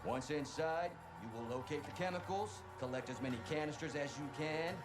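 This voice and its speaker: An actor playing a gung-ho military commander, giving a commanding, rousing mission briefing in a video game. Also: male voice, on the high side, brisk, lightly acted. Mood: commanding, brisk. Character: gung-ho male military commander rallying troops. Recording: music behind the voice.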